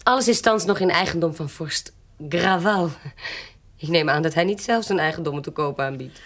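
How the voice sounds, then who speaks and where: An actress playing a shrewd, self-assured baroness in a radio drama, speaking formally, pointedly and insistently with a husky voice. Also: female voice, fairly low pitch, moderate pace, a bit bright. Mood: probing. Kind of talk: Dutch radio drama acting.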